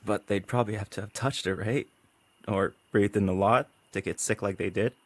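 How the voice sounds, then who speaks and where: A man's voice: lowish voice, fairly even intonation, normal speed, dry recording, natural voice. A male actor playing a curious, frightened son in a post-apocalyptic audio drama. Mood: curious, hesitant.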